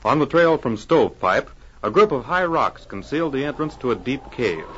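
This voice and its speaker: A man announces a vintage radio adventure with dramatic weight. His voice sits at a medium pitch in a grand narrating style. The old recording sounds dull. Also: lively intonation, moderate pace, fairly bright tone, soft background music. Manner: dramatic, declamatory.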